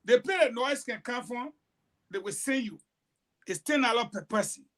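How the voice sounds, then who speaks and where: High-voiced man. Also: moderate pace. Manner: animated storytelling, emphatic broken sentences. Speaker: panelist. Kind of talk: heated panel discussion.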